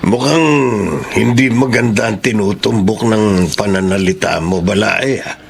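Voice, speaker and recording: An actor with a Filipino accent playing an old, dryly suspicious, needling grandparent in a radio drama. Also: male voice, lowish voice, unhurried, vocal fry, a little hiss or hum.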